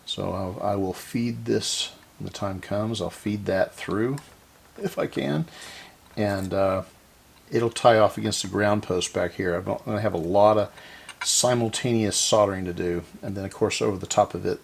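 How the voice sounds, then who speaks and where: Low gravelly man talking through repairs. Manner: halting, thinking aloud as he works. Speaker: host of a radio repair video series. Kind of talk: casual hands-on repair walkthrough.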